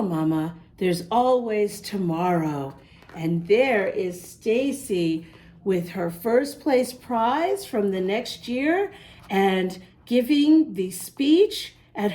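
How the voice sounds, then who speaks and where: A woman's voice: fairly high pitch, mellow. A woman reading a picture book aloud to young children, with asides to the little listeners. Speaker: children's storybook reader and host.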